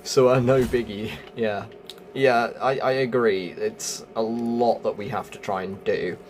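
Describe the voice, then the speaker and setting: Male gaming video host, casual walkthrough style, explanatory, mid-pitched, noticeable noise behind him. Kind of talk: casual game walkthrough commentary.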